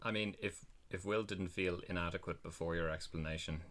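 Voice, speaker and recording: A man's voice: low-pitched, fairly even intonation, brisk, fairly bright tone. A man hosting a podcast, chatting in a playful, teasing way. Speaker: podcast host. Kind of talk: podcast chat.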